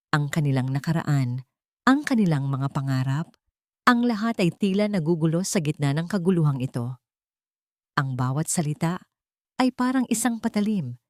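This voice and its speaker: A woman narrating a dramatic love story in a low but lively voice. The recording is close and dry, with no room sound. She reads every part herself. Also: no room sound.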